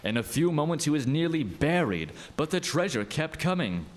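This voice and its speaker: A man narrating a scripted radio play tale as an expressive, dramatic storyteller. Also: fairly high pitch, moderate pace.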